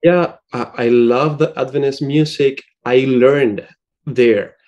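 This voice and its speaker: A man's voice: medium pitch, fairly even intonation, slow, muffled recording. A young man from Cuba with a Cuban Spanish accent, a music student and composer answering interview questions, searching for his words in English.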